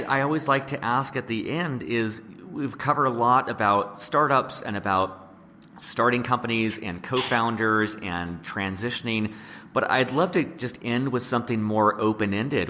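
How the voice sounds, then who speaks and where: A man's voice: medium pitch, moderate pace, sharp tone. A man hosting a conversational interview, feeling his way tentatively into questions and groping for words. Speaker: podcast interviewer, host. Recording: long reverb, faint background noise.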